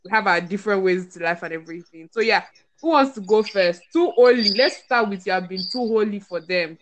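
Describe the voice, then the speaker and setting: A woman's voice: medium pitch, brisk. Chatty woman hosting a podcast. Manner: chatty, playful, laughs often. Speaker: podcast host. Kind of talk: casual chat.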